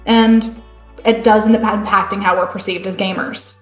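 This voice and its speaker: A woman hosting a live online panel discussion, chatty and relaxed. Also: medium pitch, moderate pace, roomy sound, soft background music. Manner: relaxed, chatty, many fillers like "end up". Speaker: panel host.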